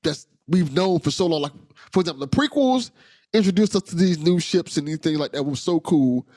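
Brief: eager male podcast host, higher voice with lively pitch, stutters on restarts, dry close sound. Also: fairly quick, no echo. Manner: enthusiastic, repeats words as he starts sentences. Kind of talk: casual interview chat.

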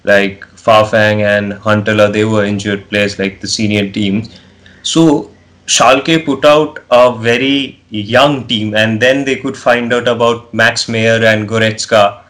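A man's voice: on the low side, fairly even intonation, slow pace, a bit bright, low-quality recording. A man with an Indian accent interviewing a football coach, asking questions in a casual way that is full of "like". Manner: casual, fills with "like".

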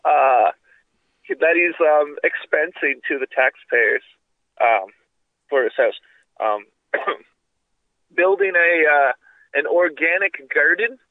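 A man's voice: fairly high pitch, lively intonation, slow, long pauses, no echo, narrow, tinny audio. A congested man with a cough and sore throat, chatting casually on a podcast in a rambling, profane way. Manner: rambling, profane, full of fillers. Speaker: podcast co-host. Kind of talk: casual chat.

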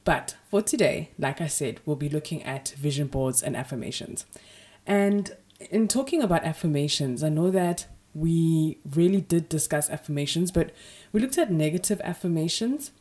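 A woman hosting her own podcast, talking casually with a slightly raspy voice from a sore throat, filling her speech with "you know" and "um". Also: deep voice, animated, normal speed, warm tone. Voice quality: husky, raspy. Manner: chatty, frequent "you know" fillers. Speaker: podcast host. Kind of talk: casual solo podcast talk.

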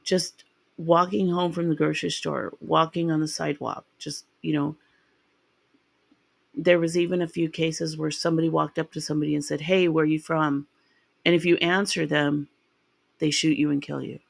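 Woman podcast host, sick and raspy, rambling casual delivery, dry close mic. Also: low-pitched, fairly even intonation, normal speed, dry recording. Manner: rambling and chatty, with ums and restarts. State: raspy from a sinus infection. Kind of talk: casual true-crime podcast narration.

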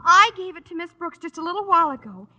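An actress playing a sweet, placating teenage daughter in an old radio comedy, pleading quickly. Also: female voice, very high-pitched, animated, pushed and bright, exaggerated voice. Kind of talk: 1950s radio comedy acting. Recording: muffled old radio recording.

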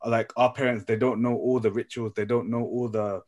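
A young man from Nepal in a casual group discussion, speaking haltingly and often repeating his phrases as he works out his thought. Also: medium pitch, little pitch movement, a little mellow. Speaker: podcast panelist.

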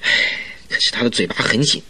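A man playing an eager, self-satisfied police detective chief in a radio drama, exclaiming and drawing out his phrase endings. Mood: puzzled, flustered. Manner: animated, exclamatory, drawn-out particles. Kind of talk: Chinese radio drama acting.

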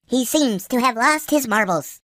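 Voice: mid-range voice, lively intonation, unhurried, a bit bright, dry recording, studio quality. A performer voicing an anxious, wordy droid sidekick in a parody radio drama, fussy and prone to stammering. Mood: pleading, exasperated.

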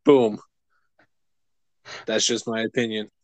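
Rambling, animated male co-host. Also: lowish voice, slow, dry recording. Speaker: podcast co-host. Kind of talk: casual chat.

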